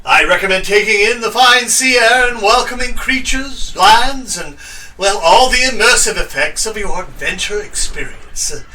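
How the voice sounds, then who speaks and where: A man playing an excitable, falsely jolly cruise captain in a fantasy audio drama. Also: sits high, moderate pace, far from the mic, exaggerated voice, poor audio. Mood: brisk, showy. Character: excitable, scheming host captain of a pleasure cruise.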